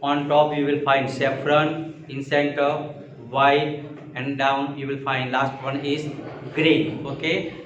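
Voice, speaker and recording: A man's voice: mid-range voice, little pitch movement, slow, far from the mic, a crowd in the background. A man teaching a school lesson step by step, repeating key phrases and checking that students follow. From India. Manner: repetitive, step-by-step explaining with check-in questions. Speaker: school social science teacher. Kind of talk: classroom lesson for children. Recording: muffled, echoey room with noise.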